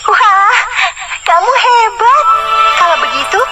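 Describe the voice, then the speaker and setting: Casting: devoted youngest-daughter princess played by a woman, high gentle voice, earnest, children's cartoon, heavy music bed. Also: lively intonation, slow, heavily acted, poor audio. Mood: hopeful. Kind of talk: children's fairy tale cartoon voice acting. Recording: loud music behind the voice.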